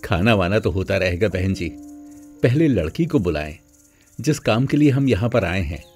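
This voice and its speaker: A man plays a fatherly middle-aged man in a cartoon moral tale. His voice is husky and dark-toned, and he speaks with earnest, proud conviction. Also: low-pitched, lively intonation, moderate pace, soft background music. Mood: polite, surprised. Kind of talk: animated moral story dialogue.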